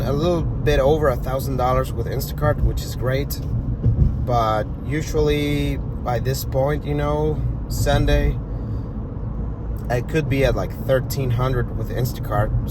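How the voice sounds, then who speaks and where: A man with a stuffy, congested voice from a cold, chatting casually as he vlogs from his car. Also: medium pitch. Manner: chatty, rambling, conversational. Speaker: gig delivery driver vlogger. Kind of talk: casual vlog talk to camera. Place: in a car, driving. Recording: loud car road noise. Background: road and traffic noise.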